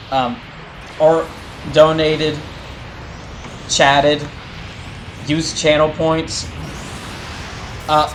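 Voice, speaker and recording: A man talking casually to his livestream chat as a game streamer, halting and rambling as he corrects himself. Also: fairly high pitch, slight background noise, rough recording.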